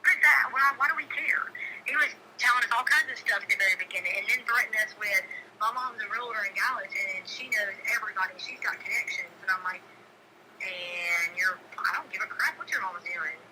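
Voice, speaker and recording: A woman's voice: mid-range voice, brisk, pushed and bright. A middle-aged woman talking casually on a phone call, insistent and emphatic, repeating phrases for stress. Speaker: local neighbor caller. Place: telephone call. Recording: noisy phone call recording.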